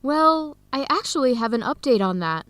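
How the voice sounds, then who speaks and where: An actress playing a hopeful, sincere young woman in an educational radio drama, phrasing her words deliberately. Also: high voice, expressive, fairly bright tone, no echo. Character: hopeful young woman adoptee searching for her birth family.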